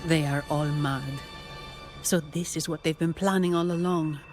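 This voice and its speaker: A woman's voice: deep voice, fairly even intonation, normal speed, a little mellow, soft background music, close to an everyday voice. An actress playing a level-headed, protective woman in a video game, matter-of-fact and dry. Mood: disgusted, firm.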